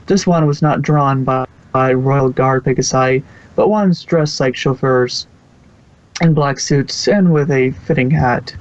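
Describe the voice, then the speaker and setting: Man, amateur story narrator, mid-pitched voice, storybook reading, muffled lo-fi home mic. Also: measured pace. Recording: dull, lo-fi home microphone.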